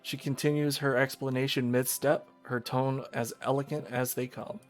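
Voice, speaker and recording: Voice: mid-range voice, level tone, normal speed. Streamer narrating a visual novel over music. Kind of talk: narration with casual asides.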